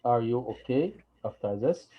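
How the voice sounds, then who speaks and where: A man teaches English in a calm, mid-pitched voice. He drills short phrases slowly and patiently so students can repeat them. The recording sounds dull and lo-fi. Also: husky. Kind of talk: online English lesson.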